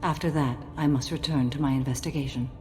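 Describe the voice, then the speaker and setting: A serene alien warrior speaks low and formally. She is solemn and measured, every phrase bound by duty, in game-drama style with music behind her. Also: steady tone, a little mellow. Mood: calm resolve. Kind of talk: dramatic video game acting.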